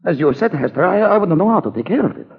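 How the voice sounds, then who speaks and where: A nervous, evasive husband in old radio drama, his voice very husky and halting. The recording is muffled and reverberant. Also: sits high, very animated, heavily acted. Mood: nervous, fearful. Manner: halting, agitated, repeats himself. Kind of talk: 1940s radio drama acting.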